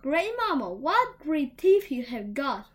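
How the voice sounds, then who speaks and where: A woman's voice: high-pitched, big pitch swings, takes it slowly, voice pushed up, heavily acted. A woman voicing an innocent, polite little country girl in a fairy tale, with a sing-song sense of wonder. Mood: amazed.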